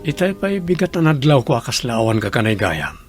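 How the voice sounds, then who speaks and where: A man playing a concerned father in a radio drama, who asks soft, worried questions. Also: mid-range voice, big pitch swings, brisk, over music, close to an everyday voice. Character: worried, gentle middle-aged father. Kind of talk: Ilocano radio drama acting.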